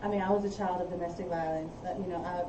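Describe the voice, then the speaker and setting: A woman's voice: on the low side, fairly even intonation, rapid-fire. A woman speaking earnestly and candidly as a podcast guest in a casual group chat. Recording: roomy, distant mic, clear background noise.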